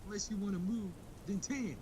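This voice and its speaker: A man's voice: high voice, brisk, noisy background, pitched above the performer's own voice, natural voice. A man voicing a harsh, relentless mother barking demands at her young daughter in training. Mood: stern, pushing.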